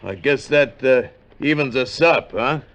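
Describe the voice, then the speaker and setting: A man playing a gruff, excitable gold-hunting partner with a gravelly voice in a 1950s radio drama. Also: medium pitch, a little slow, dull audio. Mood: gruff, friendly. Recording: very noisy vintage 1950s radio recording.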